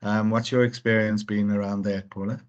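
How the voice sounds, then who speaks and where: A man's voice: fairly low pitch, flat delivery, normal speed. A man interviewing a guest over a video call, asking hedging, hesitant questions. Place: South Africa. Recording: dull lo-fi video call audio.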